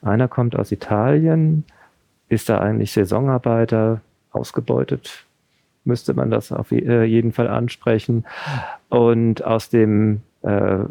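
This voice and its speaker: A man's voice: medium pitch, fairly even intonation, unhurried, creaky, mellow, dry recording. A man interviewing an author, conversational and thinking aloud with fillers. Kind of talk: interview questions and summaries.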